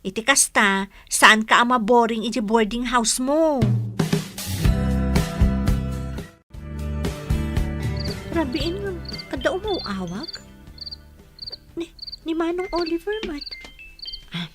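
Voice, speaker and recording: A woman playing a lively, girlish young market vendor in an Ilocano radio drama. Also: high voice, unhurried, pauses often, over loud music. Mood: teasing, casual.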